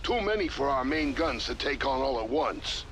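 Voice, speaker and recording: A man's voice: medium pitch, expressive, moderate pace, noisy background, close to an everyday voice. A man voicing a cocky, folksy starship engineer in a video game, talking in a casual, slangy, brash way. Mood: upbeat briefing. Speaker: ship engineer giving tips. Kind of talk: video game character acting. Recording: loud game music behind.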